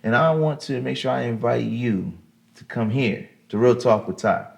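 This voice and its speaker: A man's voice: medium pitch, moderate pace, gravelly. A man hosting his own show, reading an upbeat, inviting promo for it. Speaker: show host.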